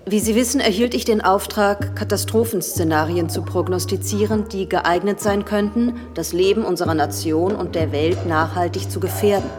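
An actress playing a formal, measured professor presenting a report in a German radio play. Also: female voice, mid-range voice, big-room sound, over music, close to an everyday voice.

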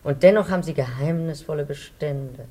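An actress playing a suspicious, stern, well-to-do housewife in a radio drama, interrogating in a haughty, precise way. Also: female voice, low-pitched, fairly quick, mellow. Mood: suspicious, probing.

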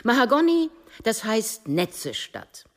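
A woman reading a scripted synopsis as a radio narrator, with dramatic, emphatic and deliberate phrasing. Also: on the high side, big pitch swings.

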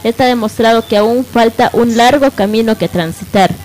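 A woman's voice: on the high side, normal speed, a little mellow, faint music behind. A woman co-hosting a live radio programme in a conversational style. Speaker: radio co-host.

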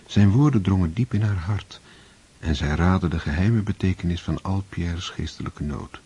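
A male narrator reading a literary story in a measured, scripted way. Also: low-pitched, dark tone, breathy edge. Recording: dull lo-fi recording.

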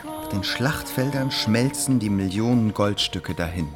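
A man's voice: on the low side, unhurried, over music, close to an everyday voice. A man narrating history in a scripted radio play, knowing and ironic, in clipped, pointed phrases.

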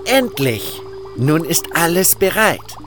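A haughty cult guru from a fantasy audio play, acted out by a man, pitched well above a deep male register. He talks down to his listener, pompous and grudging. Music plays clearly behind him. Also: big pitch swings, takes it slowly. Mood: impatient, satisfied.

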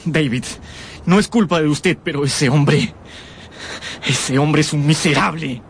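Wounded, bitter fellow, rumbling noise. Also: male voice, unhurried, gravelly. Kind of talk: radio drama acting.

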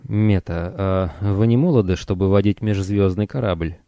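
A roguish, wry man who roams between planets, played by a male audiobook reader. His voice is low and mellow, his manner teasing, and the recording is dry. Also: moderate pace, no room sound. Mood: curious, amused. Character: wry roguish male space traveller, adult.

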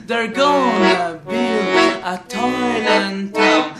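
A woman's voice: medium pitch, animated, drawn-out words. A woman singing a parody of a Christmas song, accompanied by accordion music. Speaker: singer. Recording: echoey, loud accordion and guitar music.

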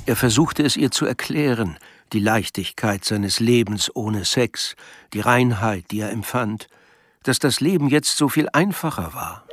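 A man's voice: medium pitch, expressive, measured pace. A man acting as the observant, wry narrator of a German radio drama, reading scripted literary prose. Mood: reflective.

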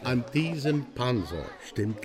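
Declamatory, animated East German painter. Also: male voice, mid-range voice, slow pace, crowd noise, background noise. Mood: jovial, proud. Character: boastful East German painter, jovial party-loyal man. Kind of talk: radio drama acting.

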